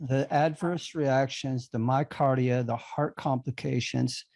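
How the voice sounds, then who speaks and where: A man's voice: mid-range voice, steady tone, unhurried, creaky, a little mellow, no echo. A man hosting a podcast interview, talking earnestly and emphatically in a casual conversational way. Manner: earnest, emphatic, builds to indignation. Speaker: podcast host.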